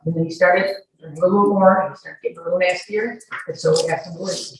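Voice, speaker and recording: A woman's voice: fairly low pitch, steady tone, fast. A woman answering questions as a witness in court, emphatic and repeating her denials. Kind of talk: answering questions under examination. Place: indoor courtroom. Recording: echoey, distant mic, faint noise.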